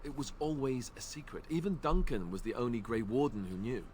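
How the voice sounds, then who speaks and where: A man's voice: medium pitch, animated, brisk, a loud crowd, close to an everyday voice. An actor playing an awkward, self-deprecating young male knight in a game, talking in a hesitant, rambling way. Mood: uneasy. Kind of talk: game character dialogue.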